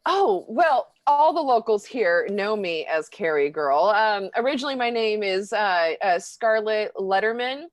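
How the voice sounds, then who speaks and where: A woman's voice: medium pitch, animated, unhurried, hardly any pauses, no echo, close to an everyday voice. A woman playing a bubbly, clueless small-town actress in an improvised game show parody, rambling and stammering. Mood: gushing, flustered. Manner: flustered, rambling with stammers and breathy exclamations.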